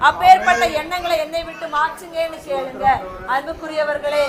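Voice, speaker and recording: A woman's voice: very high voice, steady tone, normal speed, a crowd in the background. A woman preacher leading a fervent prayer sermon, urging her listeners on with repeated calls. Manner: repetitive urgent exhortation. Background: congregation responding amen.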